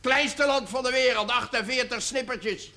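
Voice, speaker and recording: A man's voice: extremely high pitch, normal speed, bright, forceful tone, full character voice. An actor in a television period drama playing an irreverent middle-aged working man, coarse and mocking. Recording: noisy old television soundtrack.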